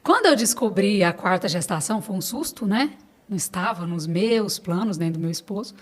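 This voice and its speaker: A woman's voice: mid-range voice, lively intonation, brisk. A Brazilian woman, a podcast guest, talking casually and personally, repeating phrases for emphasis. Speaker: podcast guest, business owner.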